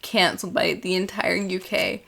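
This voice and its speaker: A woman's voice: on the low side, little pitch movement, normal speed, gravelly, a bit bright. Chatty young woman co-hosting a podcast. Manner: enthusiastic, chatty. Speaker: podcast co-host. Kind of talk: casual chat.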